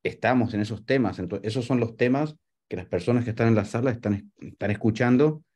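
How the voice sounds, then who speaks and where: A man's voice: medium pitch, fairly quick, a little mellow, breathy edge. A middle-aged man from Venezuela and Uruguay, a real estate advisor giving closing remarks as host on a webinar video call. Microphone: video call microphone.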